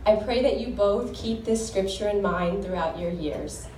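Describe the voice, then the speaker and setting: A woman's voice: medium pitch, normal speed, a little mellow, a little hiss or hum. A young woman giving an earnest, heartfelt wedding toast as the groom's sister, reading scripture in a devout tone. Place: wedding reception hall. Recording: echoey hall recorded from far off.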